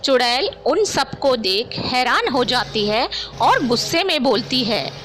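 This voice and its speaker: A woman's voice: high voice, very animated, unhurried, faint music behind. A woman giving scripted storytelling narration for a horror cartoon. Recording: very loud ambient noise behind voice.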